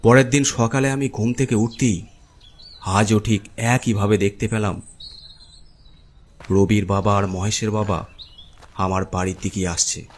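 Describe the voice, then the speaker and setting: Low-voiced man narrating a horror story. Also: steady tone, a little slow, pauses often.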